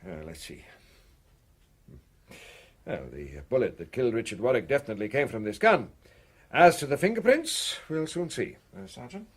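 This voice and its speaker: Animated British police inspector. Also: male voice, mid-range voice, moderate pace, long pauses, breathy edge. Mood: brisk, probing. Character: unflappable, politely probing male police inspector. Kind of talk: British radio play acting.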